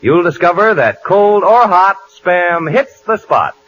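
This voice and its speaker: A man's voice: high-pitched, big pitch swings, unhurried, music in the background. A man announcing and playing comedy on 1940s radio in a bouncy, salesman-like manner. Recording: muffled 1940s radio broadcast.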